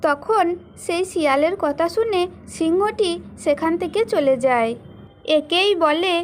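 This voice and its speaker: A woman's voice: very high voice, animated, a little slow, slight background noise. A woman giving scripted storybook narration for a children's cartoon fairy tale.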